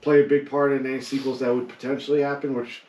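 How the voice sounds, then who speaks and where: A man's voice: mid-range voice, little pitch movement, fast talker, darkish tone, lo-fi sound. A man speaking as a podcast co-host, chatting casually about his picks with a group. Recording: echoey room, distant from mic.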